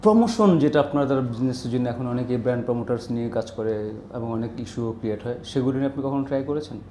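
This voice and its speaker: A man's voice: medium pitch, fast, dark tone. A man, hosting a casual interview chat. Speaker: interviewer, host. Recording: roomy echo, faint background noise.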